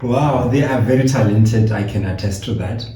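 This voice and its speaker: Brief: male interviewer, very dark, muted timbre, casual and halting, roomy echo. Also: lowish voice. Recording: very roomy, distant mic, faint noise.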